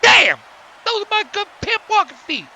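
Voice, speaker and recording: A man voicing a cocky, loud-mouthed, excitable pimp wrestler in a cartoon. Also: very high-pitched, moderate pace, creaky, slight background noise, exaggerated voice. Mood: indignant. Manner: swaggering, excitable, shouty.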